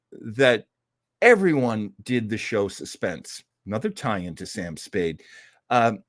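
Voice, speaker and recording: A man's voice: medium pitch, dry recording, clean studio sound. A man hosting an online old-time radio show, chatting casually and ramblingly with theatrical, jokey asides. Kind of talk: casual rambling livestream chat.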